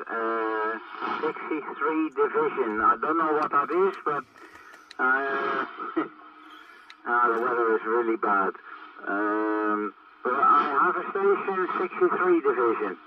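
Man, halting radio operator, CB transmission, muffled, distant. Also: mid-range voice, background noise. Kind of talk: casual two-way radio chat.

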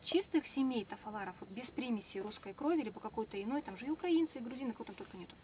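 A woman's voice: a little high, lively intonation, darkish tone, a little hiss or hum. A young woman guide explaining things fluently to a tour group inside a large tent, recorded from a distant camera. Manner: fast, fluent, informative, with fillers.

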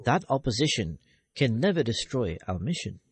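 A man reads an English translation of a sermon, with a husky, slightly breathy voice at a mid pitch. His delivery is formal and measured, one phrase at a time. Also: big pitch swings.

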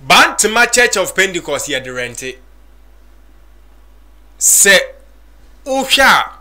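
A man in his thirties hosting a commentary show from a small studio at a desk microphone, talking in a measured way. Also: sits high, pushed and bright. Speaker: host.

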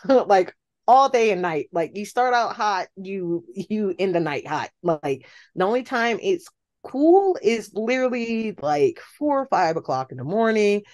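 A woman's voice: medium pitch, very animated, measured pace, a little mellow. An American woman in casual chat as a podcast guest, telling stories and acting out reactions.

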